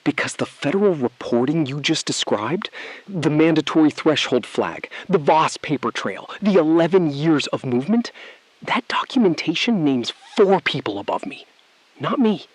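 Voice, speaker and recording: An actor playing a coolly complicit male bank insider in a scripted audio thriller, measured, evasive and precise. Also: on the high side, sing-song, no echo, poor audio. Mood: calm, calculating.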